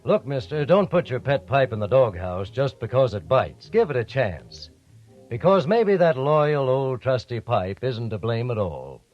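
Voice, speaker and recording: A man's voice: medium pitch, very animated, a little slow, soft background music. A man reading a chummy, persuasive radio advertisement. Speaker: commercial announcer. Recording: dull, dry old radio recording.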